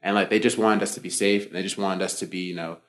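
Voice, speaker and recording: A young man with a low voice talks quickly and easily as an interview guest. He rambles comfortably, with plenty of "like" and "you know" and the odd restart. It is relaxed, everyday conversation. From United States (born Boston, raised Florida). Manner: relaxed, talkative, many fillers and self-restarts. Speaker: guest, fitness coach.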